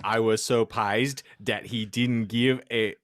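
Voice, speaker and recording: A man's voice: on the low side, fairly even intonation, slow pace, fairly bright tone, no room sound. A man hosting a podcast, giving a comic dramatic reading of fan fiction in a theatrical way and often close to laughing. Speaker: podcast host.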